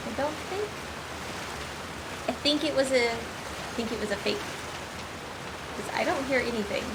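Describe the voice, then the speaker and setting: A woman's voice: a little high, normal speed, very noisy. A woman giving casual, playful playthrough commentary as a gaming streamer, with little sing-song asides.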